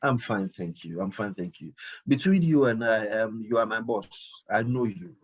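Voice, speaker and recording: A man's voice: lowish voice, normal speed, breathy edge. A man hosting a live call-in radio show, talking emphatically and often repeating his phrases. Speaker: radio host.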